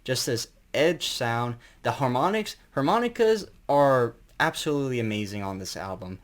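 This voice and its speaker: A man's voice: mid-range voice, expressive, normal speed. A man giving a casual, unscripted album review as an enthusiastic fan, rambling and colloquial, with some mild swearing.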